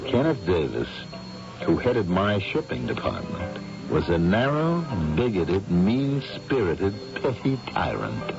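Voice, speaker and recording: An actor playing a blunt, cold businessman who speaks with deliberate, cutting precision in a radio drama. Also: low voice, huge pitch swings, vocal fry, heavily acted. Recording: dull old radio recording with music behind.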